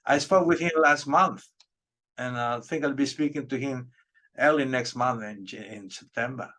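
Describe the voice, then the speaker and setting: A middle-aged man from Peru, a native Spanish speaker, chatting casually in English as an interviewer and pausing often as he explains himself. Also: medium pitch, sharp tone.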